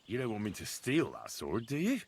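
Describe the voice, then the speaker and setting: An actor playing a young medieval blacksmith's helper in a video game, cheeky and loyal, with a dry, plain-spoken manner. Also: male voice, medium pitch, huge pitch swings, moderate pace, vocal fry, slight background noise. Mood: cheeky, keen. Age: young adult. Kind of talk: video game dialogue acting.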